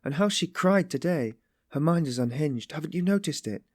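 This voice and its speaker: Man voicing an anguished, pleading young woman, high-pitched. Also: expressive, moderate pace, no echo, clean studio sound. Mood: sorrowful. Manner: pleading, breathless exclamations and repetitions. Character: anguished young woman, meek but passionately compassionate.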